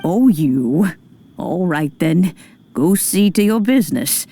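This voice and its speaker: A woman voicing a kindly old granny in a video game, fussing and gently chiding. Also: fairly low pitch, expressive, slow, breathy edge, exaggerated voice. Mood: teasing, indulgent. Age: older.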